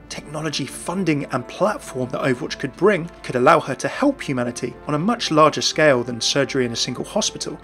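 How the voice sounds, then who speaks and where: Higher-voiced man narrating game lore. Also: gravelly, a bit bright. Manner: measured, explanatory storytelling. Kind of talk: scripted narration.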